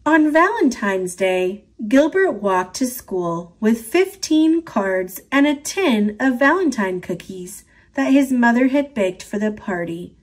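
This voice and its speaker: A woman reads a children's picture book aloud, gentle and expressive. Her voice sits a little high and swings widely in pitch. She takes her time over every line. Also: takes it slowly, few pauses. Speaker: storybook reader.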